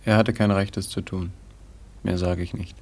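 A grown man in mourning, hurt and indignant, in a 1950s radio drama. His voice is fairly low, gravelly and husky. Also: little pitch movement, moderate pace. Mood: hurt, defensive.

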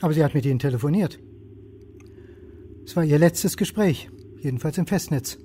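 An actor playing an anxious, dogged historian turned amateur detective, in radio drama dialogue and inner monologue. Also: male voice, a little high, normal speed, warm tone, slight background noise, natural voice. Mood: insistent.

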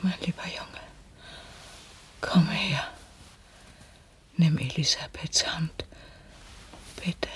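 Dying mother, pious and gentle, low female voice, halting, whispery, radio play. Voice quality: breathy, weak whisper. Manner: slow, halting, tender. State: gravely weak. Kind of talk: radio drama acting.

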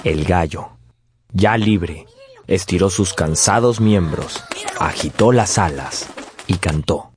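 An actor playing a nostalgic man who narrates his family's past in a measured, lyrical way for a radio drama. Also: sits low, noisy background. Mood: solemn, admiring.

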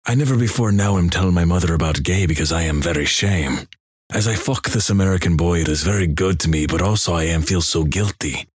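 A man's voice: sits low, breathy edge, no echo, studio quality. A man voicing an anxious, guilt-ridden young male foreign student who speaks broken English with a fake Russian accent. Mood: earnest. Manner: broken halting English, earnest deadpan delivery.

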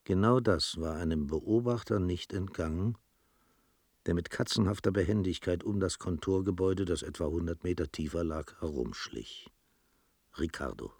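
A man narrating a literary radio drama in a measured, formal manner. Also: sits low, a little mellow, dry recording, clean studio sound.

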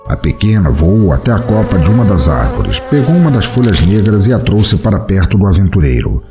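A man's voice: low-pitched, dark tone. A man with a Brazilian accent narrating a scripted fantasy story as the storyteller of an audio drama.

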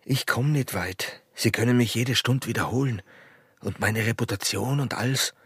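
An actor playing a tormented, infatuated border guard in a radio drama, with an Austrian accent, a breathy, husky voice and a brooding, halting delivery. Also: male voice, medium pitch, fairly bright tone, dry recording, clean studio sound. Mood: tormented, resigned. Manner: brooding, halting, swinging from murmur to outburst. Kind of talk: German radio drama acting, intimate.

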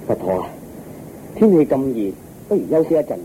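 Casting: male actor as deferential Buddhist monk, polite and questioning, Hong Kong Cantonese, dark dull radio drama sound, slight hiss. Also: mid-range voice, sing-song, a little fast, lightly acted. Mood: polite, curious. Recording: muffled radio recording, faint noise.

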